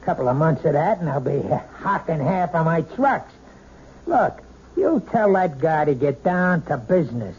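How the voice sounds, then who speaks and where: An actor playing a crude, hot-tempered, money-minded husband who badgers bluntly in a radio drama. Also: male voice, a little high, sing-song, normal speed, a little mellow, husky, heavily acted. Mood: angry, badgering. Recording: muffled, noisy old radio recording.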